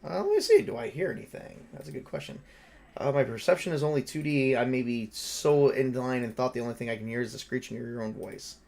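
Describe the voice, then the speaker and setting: A man's voice: medium pitch, big pitch swings, fast talker, creaky, a little mellow. A man running a tabletop roleplaying game, narrating and joking casually with the other player.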